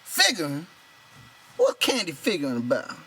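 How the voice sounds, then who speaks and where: A man's voice: on the high side, wildly swooping pitch, moderate pace, bright, forceful tone, slight background noise, pitched above the performer's own voice, heavily acted. A man voicing a proud, bitter, lonely stable hand in an audiobook, talking in an insistent, rambling colloquial way. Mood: scornful. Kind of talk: character voice in an audiobook reading.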